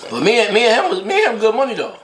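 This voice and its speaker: A man's voice: high voice, wildly swooping pitch, very fast, a bit bright, slight background noise. Laid-back New man, echoey room. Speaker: co-host, boyfriend of the host. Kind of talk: casual chat, slangy interjections.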